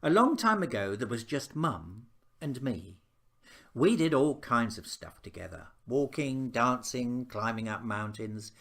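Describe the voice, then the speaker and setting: A man reading a children's picture book as a patient, reassuring father, speaking in a gentle, measured way. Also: mid-range voice, sing-song, creaky, heavily acted.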